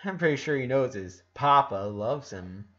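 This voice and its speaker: A man's voice: mid-range voice, lively intonation, normal speed, a bit bright, highs cut off, lightly acted. A man voicing a shy, guarded tomboyish young girl in a storybook reading, hesitant and bashful. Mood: fond. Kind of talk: storybook character dialogue.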